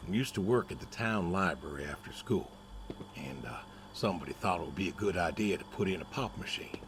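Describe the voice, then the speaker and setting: A very deep older farmer, talking in a folksy, stubborn, wry way. His pitch moves expressively as he meanders along. Clear ambient noise sits behind him in this naturalistic game scene. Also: expressive. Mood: wistful, wry. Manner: folksy, hesitant, unhurried storytelling. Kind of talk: naturalistic video game acting.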